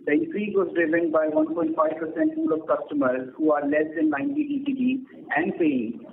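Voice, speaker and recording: A man's voice: very high-pitched, big pitch swings, brisk, gravelly. Indian man on a tinny phone line. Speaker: bank executive giving earnings remarks. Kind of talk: scripted earnings presentation. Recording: narrow tinny phone line, echo, faint noise.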